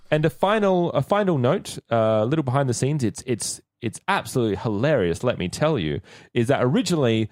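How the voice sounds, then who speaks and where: A man co-hosting a podcast in casual conversation. His mid-pitched voice moves around in a lively, animated way, and the sound is close and dry. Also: brisk, dry recording. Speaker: podcast co-host. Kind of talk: casual chat.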